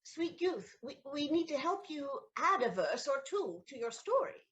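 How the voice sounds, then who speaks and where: A flustered, stammering noble countess. Her voice is high and swoops widely in pitch, trembling between tenderness and panic. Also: very animated, moderate pace, highs cut off. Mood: tender. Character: flustered noble countess, anxious to hide secrets. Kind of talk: stage audio play acting.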